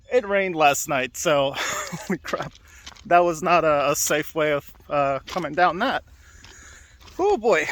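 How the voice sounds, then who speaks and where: A man's voice: high voice, pushed and bright. A man hosting a casual walking video podcast outdoors, rambling and upbeat, laughing often and talking with many fillers. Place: outdoors by railway tracks.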